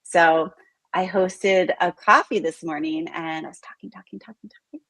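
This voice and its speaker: Chatty woman host, slightly raspy. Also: fairly low pitch, measured pace, a bit bright, no room sound. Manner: chatty, filler-heavy, says "like" often. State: slightly raspy voice from talking all morning. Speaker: host of live interview series. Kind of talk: casual live interview hosting. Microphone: video call.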